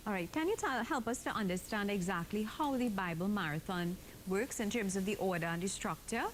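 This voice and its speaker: A woman's voice: mid-range voice, brisk, low-quality recording. A woman hosting a morning broadcast interview. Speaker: morning show host. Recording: clear background noise.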